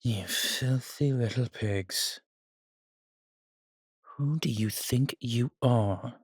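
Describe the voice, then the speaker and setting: A man's voice: medium pitch, very slow, breathy edge, no echo, heavily acted. A man playing an arrogant, menacing vampire warrior in an audio roleplay, haughty and theatrical, with suave affection for the listener. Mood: haughty outrage. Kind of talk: dramatic roleplay monologue, addressing a listener.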